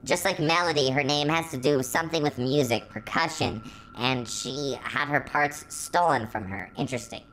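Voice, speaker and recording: A woman's voice: sits low, moderate pace, a bit bright, slight background noise, low-quality recording. A woman hosting a story reading, narrating fan fiction and adding her own commentary. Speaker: story reader, channel host.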